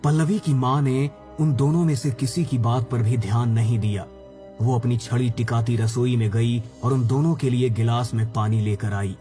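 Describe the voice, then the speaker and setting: A man's voice: medium pitch, steady tone, normal speed, dark tone. Male storyteller for scripted horror narration: middle register, music bed behind the voice. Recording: background music.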